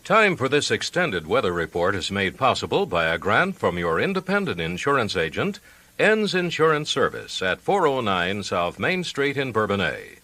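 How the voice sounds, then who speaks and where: A man's voice: on the low side, sharp tone, no room sound. A man reading a scripted sponsor message as a 1980s radio announcer.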